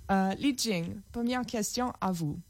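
A woman's voice: medium pitch, a little slow, a little mellow. A woman radio host announcing the station and politely asking a guest questions. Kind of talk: radio interview hosting.

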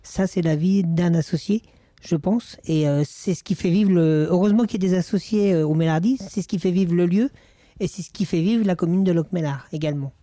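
A man's voice: sits high, brisk, mellow, no echo, studio quality. A man from Maine-et-Loire, a guest in an interview recorded in a village bar, speaking hesitantly with many fillers and restarts. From Maine-et-Loire, France. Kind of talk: casual interview.

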